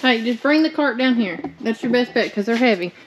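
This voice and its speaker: Chatty Southern woman, slightly high. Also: lively intonation, fairly quick, muffled recording. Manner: chatty, practical, laughing easily. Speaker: storage unit buyer. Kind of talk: casual chat while digging through a unit. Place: indoor storage unit hallway. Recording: noisy background.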